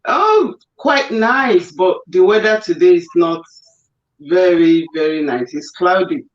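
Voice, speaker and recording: Slow-speaking woman guest. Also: on the low side, expressive. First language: Yoruba. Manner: hesitant, with fillers and restarts. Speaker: guest, actress and presenter. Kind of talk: casual video-call interview. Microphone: remote call audio. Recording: roomy, dull remote call audio.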